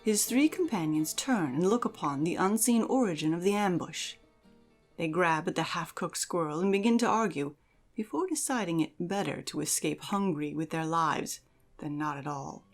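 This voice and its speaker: A woman giving a measured, theatrical reading as narrator of a fantasy audio drama. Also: fairly low pitch, faint music behind. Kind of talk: dramatic second-person fantasy story narration.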